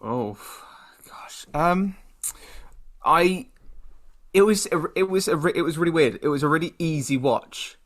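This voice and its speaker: A man's voice: fairly high pitch, unhurried, pauses often. A British man co-hosting a podcast, chatting casually in a rambling, self-deprecating way. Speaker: podcast co-host. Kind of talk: casual chat.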